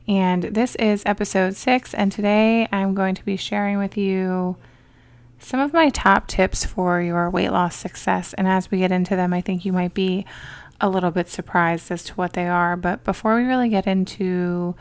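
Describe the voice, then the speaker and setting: A woman hosting a motivational podcast in an upbeat, encouraging, chatty way, her voice slightly raspy from a cold. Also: medium pitch, steady tone, moderate pace, dry recording. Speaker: podcast host.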